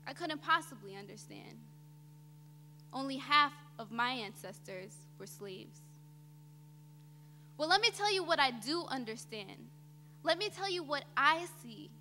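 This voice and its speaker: A young woman performing a theatrical spoken-word monologue on stage, with deliberate, dramatic phrasing that swells emphatically and drops quiet. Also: sits high, expressive. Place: indoor auditorium stage before an audience. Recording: reverberant hall, faint background noise.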